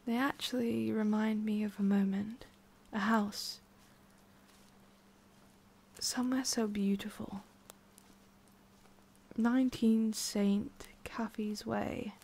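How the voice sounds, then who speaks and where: A woman voicing a haughty, prickly witch with a hidden soft side in an ASMR roleplay, soft and often whispery with a creaky edge. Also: medium pitch, steady tone, slow pace, pauses often, exaggerated voice. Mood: wistful, nostalgic. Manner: soft, often whispery, breathy sighs, curt asides.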